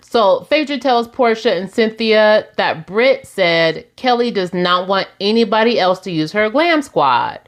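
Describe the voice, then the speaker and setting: Woman, forties, sassy casual recap host, mid-pitched voice. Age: 40s. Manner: sassy, chatty, laughs often, addresses listeners as y'all.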